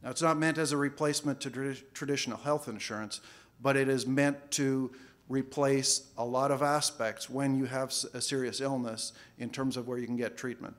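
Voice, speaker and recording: A man addresses a conference audience, casual and a little halting. He often doubles his words. English is not his first language. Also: medium pitch, steady tone. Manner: casual, repeats words while speaking. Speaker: conference speaker, financial planner. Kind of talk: conference presentation. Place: conference hall.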